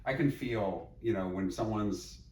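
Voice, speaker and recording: Middle-aged man, very low voice, thoughtful interview guest, roomy echo, sits back from the mic. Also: measured pace, warm tone. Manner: thoughtful, meandering, many fillers and restarts. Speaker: psychologist and teacher, podcast guest. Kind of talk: reflective interview conversation. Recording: echoey room, mic at a distance, faint noise.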